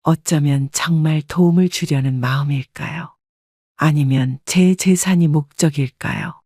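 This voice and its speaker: A woman narrating a first-person life story in a calm, measured, reflective way. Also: low-pitched, no echo, studio quality.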